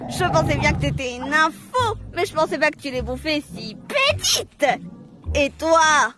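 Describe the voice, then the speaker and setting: A woman's voice: high-pitched, very animated, unhurried, sharp tone, faint music behind, full character voice. A woman voicing a bratty, foul-mouthed little boy in a cartoon dub, insolent and scornful. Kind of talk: animated cartoon dubbing.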